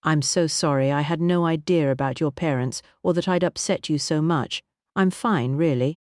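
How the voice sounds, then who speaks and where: A narrator voicing a young woman hotel owner, earnest and shaken, asking anxious, halting questions. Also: low-pitched, a little mellow, dry recording, clean studio sound, lightly acted.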